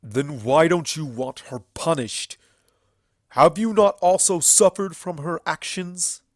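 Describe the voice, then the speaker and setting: A man's voice: fairly high pitch, slow, dry recording, voice pushed up. Man acting a bitter, proud ancient stallion, dry recording. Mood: incredulous, angry. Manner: forceful, declamatory, embittered. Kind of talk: dramatic fan fiction character reading.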